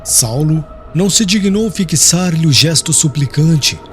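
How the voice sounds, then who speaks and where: Male audiobook narrator, fairly high voice, expressive swings, formal and solemn storytelling with music underneath. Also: a little slow. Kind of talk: dramatic scripted narration of religious historical novel. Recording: music clearly behind the voice.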